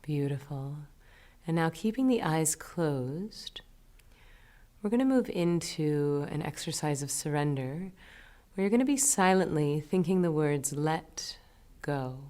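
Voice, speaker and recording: A low-voiced woman leads a guided meditation. She gives her instructions gently and soothingly, taking her time with each phrase. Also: animated, unhurried.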